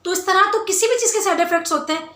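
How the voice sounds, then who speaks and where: A woman with a loud voice talking casually to her viewers as a beauty vlogger, emphatic and insistent, repeating phrases for stress. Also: extremely high pitch, very fast. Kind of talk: casual skincare Q&A talk to viewers. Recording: roomy, echoey indoor sound.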